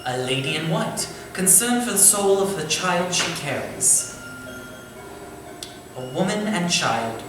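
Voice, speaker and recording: Man playing a lively Victorian gentleman writer, very echoey. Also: on the high side. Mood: brooding, intense. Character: courteous, eager Victorian gentleman writer, a theatre manager. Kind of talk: staged reading of a stage play. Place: indoor theatre space, staged reading. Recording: very echoey hall, distant mic, faint noise.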